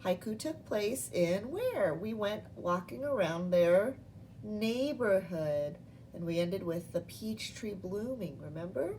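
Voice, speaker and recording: Slow-paced woman teaching young children. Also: medium pitch, lively intonation, dark tone, distant mic, a little hiss or hum, rough recording. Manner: instructional, simple phrasing with questions to young children. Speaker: primary school teacher. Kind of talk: children's read-aloud lesson.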